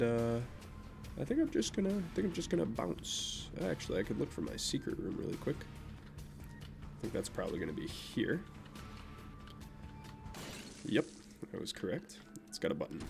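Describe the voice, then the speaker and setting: A man's voice: lowish voice, creaky. A young man with a naturally nasal voice, rambling in casual gameplay commentary and chatting offhandedly about his life. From Vermont, USA. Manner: rambling, self-deprecating, offhand. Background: game music.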